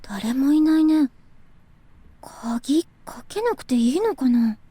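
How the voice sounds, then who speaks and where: A woman voicing a bright, teasing young coffee shop worker in a visual novel, in a casual, playful way. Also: high-pitched, lively intonation, moderate pace, dry recording. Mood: curious, doubting. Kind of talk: Japanese visual novel voice acting.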